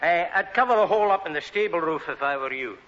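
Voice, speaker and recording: An actor playing a blunt, dry, canny vet with a Scottish accent in a British radio sitcom. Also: male voice, a little high, lively intonation, fast, fairly bright tone. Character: blunt Scottish vet, fond of whiskey and fees. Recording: dull vintage radio recording.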